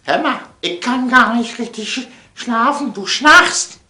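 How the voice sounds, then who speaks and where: A man playing a nagging spouse in a comic sketch, insistent and drawn-out. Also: very high-pitched, animated, bright, forceful tone, pitched above the performer's own voice, full character voice. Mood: whiny complaint. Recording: echoey room sound.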